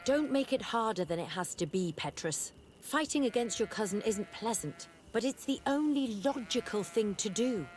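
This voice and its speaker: A woman's voice: on the high side, lively intonation, moderate pace, darkish tone, a little hiss or hum, close to an everyday voice. An actress playing a sensible, no-nonsense woman ally in a video game. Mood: firm. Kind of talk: video game dialogue acting.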